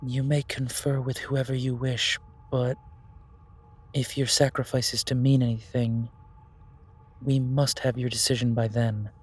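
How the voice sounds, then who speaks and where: A man playing a solemn, mystical keeper of ancient magic in a fantasy audio roleplay, measured and formally portentous. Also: mid-range voice, little pitch movement, slightly rough. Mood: solemn, reverent.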